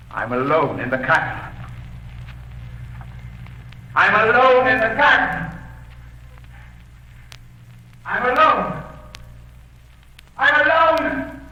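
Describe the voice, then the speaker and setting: A man's voice: high voice, sharp tone, husky, full character voice. Haunted young Englishman, muffled radio. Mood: rising panic. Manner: earnest, dramatic, haunted. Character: frightened young Englishman, captive, earnest diarist. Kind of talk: 1930s radio horror acting. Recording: muffled vintage radio recording. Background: music.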